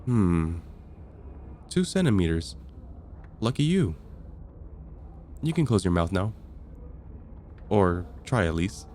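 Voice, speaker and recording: Low-voiced, composed vampire counselor played by a man. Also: big pitch swings, dark tone, a little hiss or hum, heavily acted. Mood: clinical, reassuring. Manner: measured, clinical, procedural. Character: composed male vampire counselor, decades on the job, matter-of-fact. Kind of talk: ASMR roleplay.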